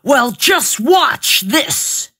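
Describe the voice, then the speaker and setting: A woman's voice: high voice, sing-song, drawn-out words, bright, forceful tone, husky, voice pushed up, heavily acted. A woman voicing a huge, cruel, boastful ogre in a storybook tale. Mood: challenged, boastful. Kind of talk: storybook villain voice.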